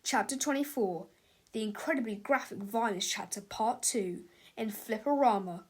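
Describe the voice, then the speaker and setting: A woman with a fairly high voice narrates a children's storybook. Her delivery is an expressive read-aloud, made for young listeners. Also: moderate pace, slightly rough.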